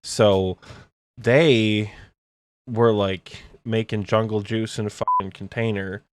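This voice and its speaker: A man's voice: lowish voice, fairly even intonation, slow, slight background noise. A man in his twenties co-hosting a podcast, tired and joking in casual chat. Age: 20s. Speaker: podcast co-host.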